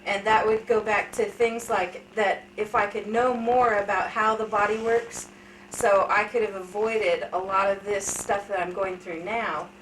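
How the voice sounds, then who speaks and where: A woman's voice: medium pitch, steady tone, unhurried, a bit bright, far from the mic. Chatty woman in her thirties, off-mic. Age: 30s. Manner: chatty, candid storytelling with self-deprecating humor. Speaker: interviewee. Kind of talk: casual family interview. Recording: echoey room, voice from off-mic, light hiss.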